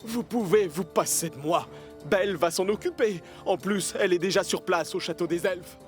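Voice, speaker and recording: A man's voice: high voice, moderate pace, faint music behind, close to an everyday voice. A man playing a proud but easily discouraged male fire engine in a children's cartoon, with a theatrical, emphatic delivery. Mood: sulky, dejected.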